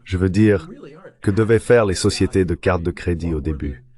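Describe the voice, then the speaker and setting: A man's voice: sits low, normal speed, mellow, natural voice. A man delivering an explanatory voice-over translation for an interview guest. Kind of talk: dubbed interview commentary, explanatory.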